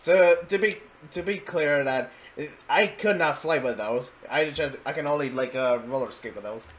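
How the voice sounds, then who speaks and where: A man's voice: fairly high pitch, moderate pace, a bit bright. A man hosting a reaction video, chatting casually in hesitant, rambling asides. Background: faint game music.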